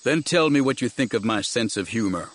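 A man voicing a grim, stern warrior-monk master in an audiobook, low and tersely commanding. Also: expressive, brisk, a bit bright. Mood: cold, resolute.

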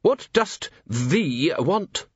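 A man's voice: fairly high pitch, big pitch swings, drawn-out words, slightly rough, dry recording, highs cut off, pitched above the performer's own voice, full character voice. A man voicing a haughty, disdainful gatekeeper in a storybook, drawling with snooty, old-fashioned speech. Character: haughty, disdainful male gatekeeper of heraldry college.

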